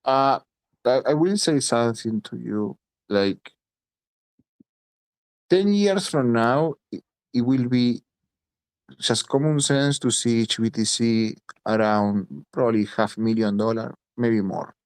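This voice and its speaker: A man's voice: medium pitch, slow, long pauses, darkish tone, clear, resonant tone, no echo. Italian guy in a voice chat, blunt, swears a lot, keeps repeating himself, dry close sound. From Italy. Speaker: voice chat participant. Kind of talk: casual crypto chat.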